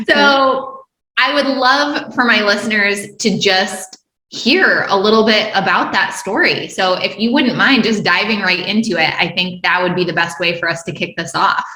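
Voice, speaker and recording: A woman's voice: mid-range voice, lively intonation, unhurried, bright, forceful tone, roomy sound, rough recording. A woman hosting an interview podcast, upbeat and effusive as she introduces her guest and asks questions. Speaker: podcast host.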